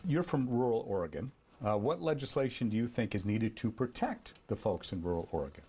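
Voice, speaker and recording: A man's voice: fairly low pitch, lively intonation, fairly quick, mellow, slightly rough. A man interviewing a guest for TV news, asking direct questions in a conversational way.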